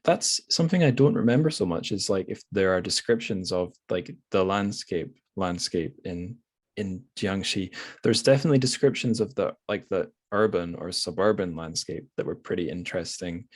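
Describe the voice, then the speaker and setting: A man hosts a relaxed book podcast. He talks casually and hesitantly, wandering through his thoughts as he goes. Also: low-pitched, moderate pace. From Scotland. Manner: hesitant, rambling, thinking aloud. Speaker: podcast host. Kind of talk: casual literary interview.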